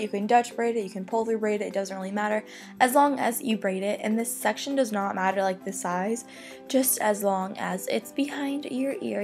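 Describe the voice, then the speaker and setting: A young woman talking through a hair tutorial in a casual, chatty way, her voice a little hoarse from losing it. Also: medium pitch, darkish tone. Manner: chatty, upbeat, many "like" fillers. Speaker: beauty vlogger. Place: bedroom.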